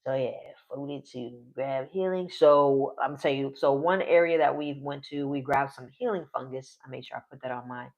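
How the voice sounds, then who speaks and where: A man's voice: mid-range voice, moderate pace, vocal fry, hardly any pauses, dull audio. A man in his forties casually narrating his solo tabletop game on a live stream, rambling, trailing off mid-sentence and laughing often. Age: 40s.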